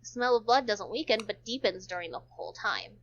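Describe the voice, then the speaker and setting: A woman's voice: a little high, brisk. A woman giving an amateur dramatic reading of a visual novel as narrator, sometimes stumbling over words, on a dull lo-fi recording. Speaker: narrator in a group read-along.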